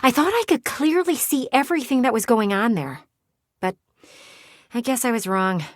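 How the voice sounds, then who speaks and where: A nosy, dramatic female house window from a video game, acted by a woman. She shares gossip in a conspiratorial, sassy tone, with lively swings of pitch. The sound is dry and close. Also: moderate pace, no echo, lightly acted. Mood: wounded, defensive. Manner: conspiratorial, theatrical storytelling with teasing asides.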